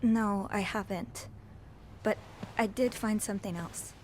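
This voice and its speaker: A woman plays a curious young hotel maid who talks earnestly and a little hesitantly. Her voice sits in a middle pitch. A faint hiss lies under the game audio. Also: measured pace, close to an everyday voice. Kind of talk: video game voice acting.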